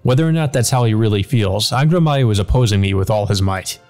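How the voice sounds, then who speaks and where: A man's voice: lowish voice, lively intonation, quick pace, a little mellow, soft background music. A man reading a visual novel aloud as dramatic scripted narration.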